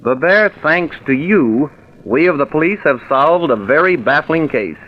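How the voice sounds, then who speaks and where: A man playing a shrewd, controlled prison warden with a measured, clipped manner in an old-time radio crime drama. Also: a little high, expressive. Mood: commanding. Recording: muffled vintage radio recording.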